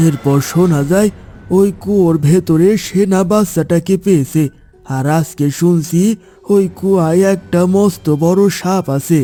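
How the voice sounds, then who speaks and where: Man voicing a mellow, higher-pitched suspicious villager. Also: unhurried, soft background music. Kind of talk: animated fairy-tale cartoon dubbing.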